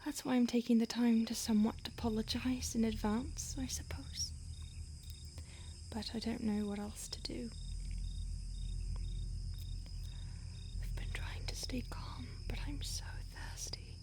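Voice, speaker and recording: A woman voicing a starved, conflicted female vampire who stalks and craves her listener, speaking in a soft intimate whisper. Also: on the high side, fairly even intonation, moderate pace, close to an everyday voice. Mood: craving, apologetic. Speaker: audio roleplay performer. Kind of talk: whispered audio roleplay.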